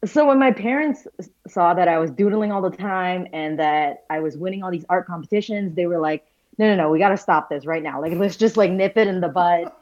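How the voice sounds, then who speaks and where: Woman, 30s, from Malaysia, low pitch, reflective, self-deprecating, many fillers, muffled recording. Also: brisk, darkish tone. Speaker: guest, visual storyteller. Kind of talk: casual conversational interview. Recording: dull lo-fi sound.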